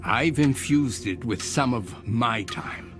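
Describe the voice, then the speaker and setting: An actor playing an eccentric older inventor in a radio drama, speaking in measured, formal, professorial phrases with cryptic, patient persuasion. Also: male voice, mid-range voice, music in the background, lightly acted. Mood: quietly insistent.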